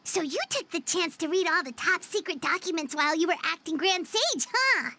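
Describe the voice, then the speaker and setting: A woman voicing a tiny, excitable, nosy fairy companion in a video game, with a squeaky childlike voice and blunt, exclamatory delivery. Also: moderate pace, heavily acted. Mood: curious, teasing. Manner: exclamatory, blunt, refers to herself by name. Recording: loud music behind the voice. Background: game music.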